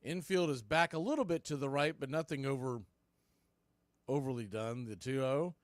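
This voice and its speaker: A man doing live baseball play-by-play on radio, speaking in clipped phrases with pauses between calls. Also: medium pitch, dry recording, studio quality.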